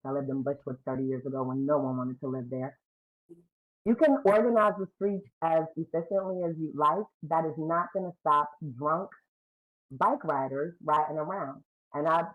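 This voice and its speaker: A middle-aged woman with a deep, low voice, speaking during public comment at a community meeting. She talks bluntly and emphatically over a video call. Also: moderate pace, narrow, tinny audio. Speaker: community member asking questions.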